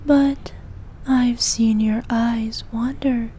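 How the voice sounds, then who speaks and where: A woman playing a regal, possessive evil queen who dotes on her knight in an intimate ASMR roleplay, speaking formally and softly, at times in breathy whispers. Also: on the high side, steady tone, slight background noise. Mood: seductive, pleased. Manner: regal, slow, archaic formal speech.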